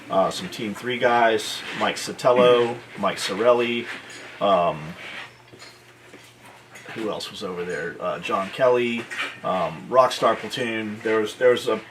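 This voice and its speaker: A man with a mid-pitched voice hosts a gear video in casual, unscripted talk. He is blunt and sweary and pauses as he explains. Noise is clearly heard behind him. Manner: blunt, profane, halting explanations. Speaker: host of a military gear video.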